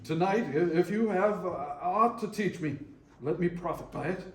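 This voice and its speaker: A man plays a meek old miser with a high voice, speaking earnestly and deferentially. It is a staged reading caught from a distance in an echoey room. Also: moderate pace, natural voice. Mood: humbled, earnest. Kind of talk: readers theater performance.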